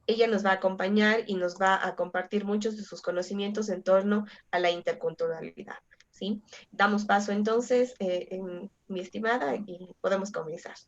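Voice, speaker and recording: A woman's voice: medium pitch, fairly even intonation, moderate pace, dull audio. A woman moderating an academic webinar over a video call, reading a speaker's credentials with short check-ins. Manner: reads credentials steadily, with filler checks. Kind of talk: formal introduction.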